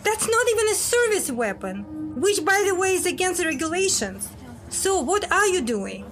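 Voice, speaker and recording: A very high, lively female voice from an actress plays a secret agent in a podcast drama. She is guarded and exasperated, scolding her partner insistently. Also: normal speed, faint music behind, exaggerated voice. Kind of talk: audio drama acting.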